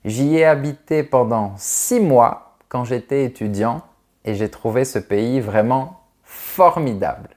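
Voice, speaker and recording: A man in his thirties from France, a French teacher answering viewers' questions in a clear, carefully articulated, friendly way. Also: mid-range voice, a little mellow. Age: 30s. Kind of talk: slow, clear video Q&A for learners.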